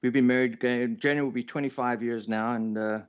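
A man's voice: mid-range voice, fairly even intonation, a little fast, clean tone. South African man, slightly muffled sound. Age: 50s. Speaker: radio show guest, entrepreneur. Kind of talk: casual interview storytelling. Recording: slightly dull remote radio connection.

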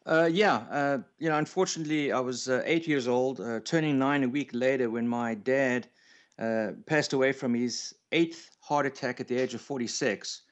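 A man's voice: mid-range voice, normal speed. A man in his fifties with a South African accent, telling his story casually and good-humouredly as a radio guest. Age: 50s. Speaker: radio show guest, entrepreneur. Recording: slightly dull remote radio connection.

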